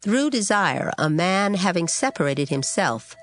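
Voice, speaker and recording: A woman reading scripture aloud in a measured, solemn recitation. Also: on the low side, sing-song. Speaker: scripture reader. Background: background music.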